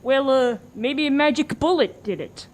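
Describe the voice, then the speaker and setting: A woman's voice: sits high, moderate pace, close to an everyday voice. A woman acting as a paranoid, eager male conspiracy believer who is easily cowed, in a comedic radio play. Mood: floundering.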